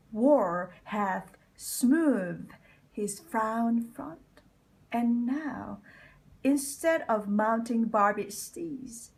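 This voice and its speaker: A woman giving a deliberate, theatrical recitation of a Shakespeare soliloquy as a bitter, scheming nobleman, with phrases falling into breathy whispers. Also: mid-range voice, a little mellow, low-quality recording. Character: bitter, scheming deformed nobleman plotting villainy. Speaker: amateur Shakespeare reader.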